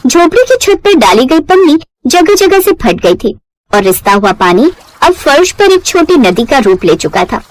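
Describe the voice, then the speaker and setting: A woman's voice: sits high, sing-song, normal speed, no echo, low-quality recording. A woman narrating a scripted moral story in a dramatic storytelling style.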